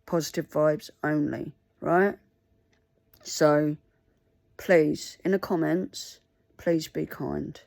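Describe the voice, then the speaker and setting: Woman, Mandarin first language, halting and pleading, dry close sound. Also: deep voice, fairly even intonation, vocal fry, darkish tone, no room sound. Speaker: vlogger. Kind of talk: casual talk to camera.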